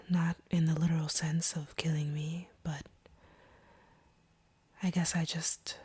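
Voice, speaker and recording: A woman playing a guarded, wounded vampire in an intimate audio roleplay, speaking softly and haltingly in a breathy near-whisper. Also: sits low, exaggerated voice. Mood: wounded, sorrowful. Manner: slow, hesitant, halting delivery. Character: guarded, wounded female vampire confessing feelings.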